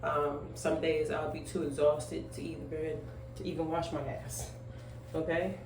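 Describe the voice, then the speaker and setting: A woman's voice: low-pitched, little pitch movement, a little slow. A twenty-five-year-old woman, a single mother, chatting casually at home while grooming, rambling with lots of fillers and emphatic asides. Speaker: vlogger, young single mother. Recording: echoey room, voice off-mic, faint noise.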